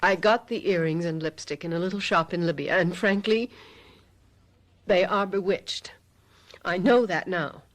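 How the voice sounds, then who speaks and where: An actress playing a desperate, sharp-tongued middle-aged mother in a radio mystery drama, with urgent dramatic delivery. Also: fairly low pitch, a little slow, natural voice. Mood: desperate, wry.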